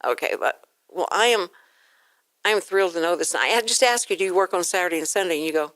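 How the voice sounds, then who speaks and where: A woman hosting a radio talk show in a casual, chatty interview style, excitable and given to exclaiming. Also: fairly low pitch, quick pace, no room sound, studio quality.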